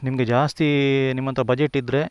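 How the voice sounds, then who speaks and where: Fast-talking man, dry close sound. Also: medium pitch, little pitch movement, no room sound. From India. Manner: rambling, self-correcting, conversational. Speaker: motovlogger. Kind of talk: casual gear walkthrough.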